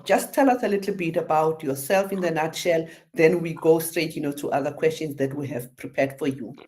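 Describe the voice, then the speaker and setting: A woman's voice: low-pitched, brisk, darkish tone. A woman hosting a radio interview show, talking effusively with many fillers.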